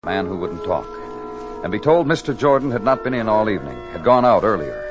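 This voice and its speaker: A hard-boiled detective narrates in terse, clipped fragments. His voice is very deep, and music plays clearly under a dull old radio recording. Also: sing-song, brisk. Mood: weary. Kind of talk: 1950s radio detective drama.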